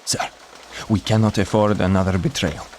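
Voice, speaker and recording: Low-voiced, formal army major. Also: male voice, flat delivery, a little hiss or hum. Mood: wary. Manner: formal, measured, reserved. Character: cautious, dutiful major on escape committee. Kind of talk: video game cutscene acting.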